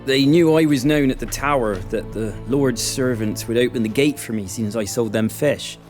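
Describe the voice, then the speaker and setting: An actor playing an anguished, guilt-ridden young village fisherman in a video game, halting and emotional, speaking in rustic dialect. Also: mid-range voice, darkish tone, soft background music, lightly acted. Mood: sorrowful, confessing. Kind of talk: video game dialogue acting.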